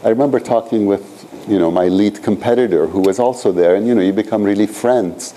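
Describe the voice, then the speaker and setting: Man, 60s, from Iran, professor type. Low, warm voice; loose, filler-laden lecture delivery. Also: a little fast, a little hiss or hum, poor audio. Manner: rambling, filler-heavy, frequent "you know" and "frankly". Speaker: professor leading a seminar. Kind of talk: conversational seminar lecture. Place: lecture room at a graduate school.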